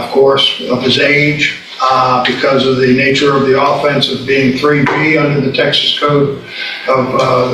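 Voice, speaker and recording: A man makes a courtroom plea as a defense attorney. He feels his way haltingly through phrases in a medium-pitched voice, which sounds distant and boomy with reverberation. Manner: halting, hesitant. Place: indoor courtroom. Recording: echoey courtroom, distant mic, background noise.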